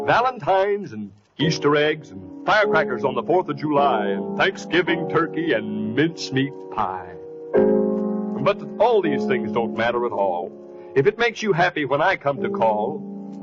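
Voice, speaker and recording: Jolly old Santa over music. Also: male voice, medium pitch. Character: jolly, kindly old Santa Claus, musing wistfully. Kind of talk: sentimental 1940s radio recitation over music. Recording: muffled old radio, loud music behind.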